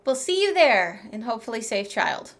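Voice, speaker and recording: A woman's voice: a little high, very animated, normal speed, noisy background. A woman streamer giving casual gameplay commentary and reading choices aloud. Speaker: streamer playing a game.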